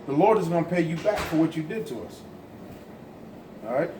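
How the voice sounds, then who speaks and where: A man's voice: on the high side, brisk. A man giving an emphatic, insistent teaching talk. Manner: forceful, insistent. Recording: echoey room with background noise.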